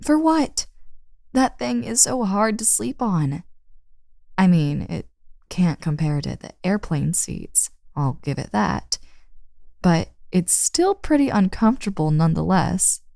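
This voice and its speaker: A woman's voice: fairly low pitch, big pitch swings, creaky, dark tone, dry recording, clean studio sound, exaggerated voice. A woman playing a friend's teasing, tired older sister in a casual, intimate roleplay audio drama addressed to the listener. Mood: teasing, coaxing. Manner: casual, playful, sighing, drawn-out words.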